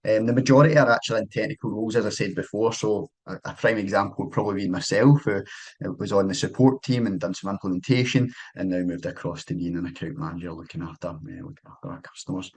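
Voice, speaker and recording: Scottish account manager with a slightly low, husky voice, chatty presenter. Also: male voice, fast talker, darkish tone. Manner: loose and conversational, with many fillers and restarts. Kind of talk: casual presentation.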